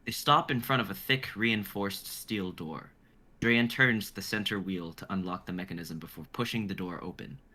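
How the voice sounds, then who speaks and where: A man's voice: deep voice, moderate pace, bright, forceful tone. A man in his twenties, talking casually and narrating stage directions for an informal script reading. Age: 20s.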